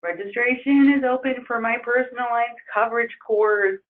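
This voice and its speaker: A woman trainer losing her voice, leading an upbeat software webinar walkthrough. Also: a little high, steady tone, moderate pace. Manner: upbeat, enthusiastic promoting. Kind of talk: webinar screen-share walkthrough. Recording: tinny phone-like webinar audio.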